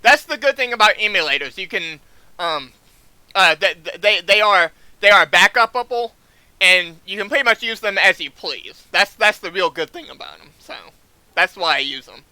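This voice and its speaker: A man chatting casually as he streams a game, speaking haltingly and often repeating words as he restarts sentences. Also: high voice, expressive, sharp tone, smooth, no echo, low-quality recording. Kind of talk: casual livestream chat over gameplay.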